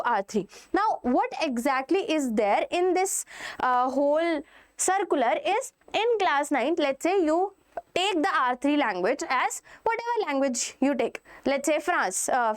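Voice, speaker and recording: A woman teacher with an Indian English accent walks students through new rules. Her voice sits high. She keeps asking "okay?" and "right?" as she goes. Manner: addresses students directly, checks in with "okay" and "right". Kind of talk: explaining a school policy update to students.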